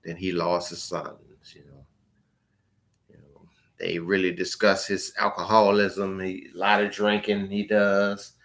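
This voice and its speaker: A man talking casually and informally as a book reviewer, in a halting, rambling way that trails off at the ends of phrases. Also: low voice, little pitch movement, a bit bright.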